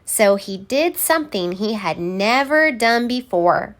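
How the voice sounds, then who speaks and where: Slow, animated woman reading a storybook. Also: mid-range voice. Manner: theatrical, deliberate, emphatic word by word. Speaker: storybook reader. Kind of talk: expressive children's read-aloud narration.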